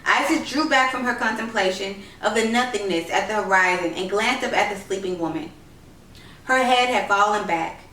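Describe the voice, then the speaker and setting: Woman, storybook narration, read aloud, bright tone, roomy off-mic recording with faint noise. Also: mid-range voice, moderate pace, recorded from a distance. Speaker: story reader. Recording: roomy echo, noisy, off-mic home recording.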